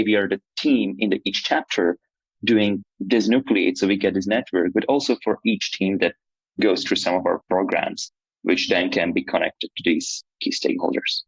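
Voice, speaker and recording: Very low-voiced young man from Slovakia. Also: slightly rough, no room sound, dull audio. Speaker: PhD student and nonprofit co-director. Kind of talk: interview answers.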